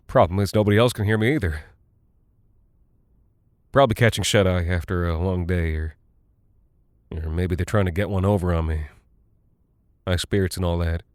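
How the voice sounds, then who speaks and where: A man playing an anxious pilot and husband in an audio drama, rambling haltingly and nervously to his wife as if reassuring himself. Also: on the low side, no echo, studio quality, lightly acted.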